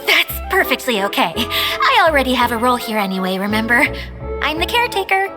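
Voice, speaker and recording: A woman's voice: a little high, very animated, a little fast. A woman voicing a friendly, easily flustered caretaker in a game cutscene. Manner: flustered, stumbling over words. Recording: game audio under music.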